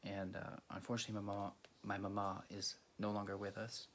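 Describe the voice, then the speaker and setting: A man from Greece hosting a video, telling a story casually and haltingly, with frequent pauses mid-sentence. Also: fairly low pitch, monotone, a bit bright, slight background noise. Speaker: video host. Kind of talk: casual storytelling to camera or viewers.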